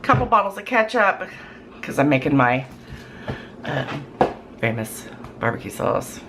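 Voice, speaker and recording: A hoarse woman with a cold, chatting in a rambling, folksy way with lots of ums in her home kitchen. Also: sits low, big pitch swings, unhurried. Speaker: cooking vlogger. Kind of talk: casual chat to camera. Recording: noisy home recording.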